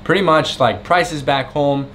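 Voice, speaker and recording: A young man explaining things in a relaxed, matter-of-fact way to his viewers in a travel vlog. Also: a little high, measured pace, a little hiss or hum. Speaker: travel vlogger. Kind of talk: casual vlog chat.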